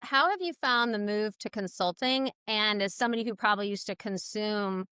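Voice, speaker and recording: A woman's voice: mid-range voice, normal speed, pushed and bright, clean tone, dry recording, studio quality. A woman hosting a podcast, asking questions in a conversational interview style.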